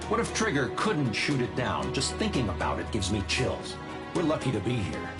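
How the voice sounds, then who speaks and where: Cutscene narrator: thoughtful soldier, mid-pitched, measured and uneasy, loud score underneath. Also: male voice, wildly swooping pitch, over loud music. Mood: uneasy, reflective. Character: reflective soldier recounting the war, uneasy.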